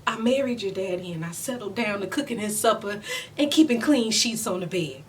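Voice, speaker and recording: A woman in her forties playing a weary, proud, loving mother in an emotional stage monologue, speaking deliberately with heavy pauses. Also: animated, natural voice. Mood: regretful. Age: 40s. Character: weary, proud middle-aged mother and widow, wounded but loving. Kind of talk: emotional stage monologue, self-taped audition. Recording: distant mic, noticeable low rumbling noise.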